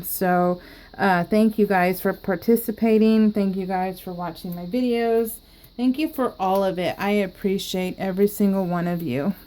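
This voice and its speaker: A woman's voice: mid-range voice, dark tone. Giggly rambling woman vlogger. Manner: giggly and rambling, with frequent laughs and restarts. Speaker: planner crafting vlogger. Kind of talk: casual chatty crafting vlog.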